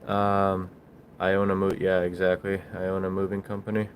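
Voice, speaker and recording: A man hosting a casual livestream, talking in a halting, rambling, informal way as he answers viewers. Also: sits low, monotone, slight background noise. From Bulgaria. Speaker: livestream host, small business owner.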